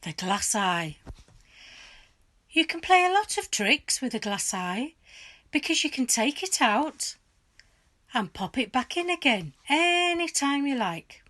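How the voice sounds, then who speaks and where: A woman reading a children's storybook aloud in a dramatic, deliberate narrating style. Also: sits high, big pitch swings, fairly bright tone. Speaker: school staff member reading aloud.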